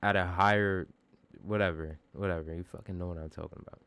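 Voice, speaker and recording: A man with a bassy voice giving a casual, slangy music production tutorial, informal and self-deprecating, with some swearing. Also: fairly even intonation, quick pace, fairly bright tone, smooth, dry recording.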